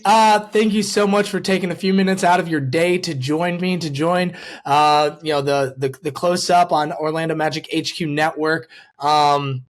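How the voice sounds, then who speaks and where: A man hosting a sports show in a casual fan's style, repeating phrases for emphasis. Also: on the high side. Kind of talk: casual fan chat.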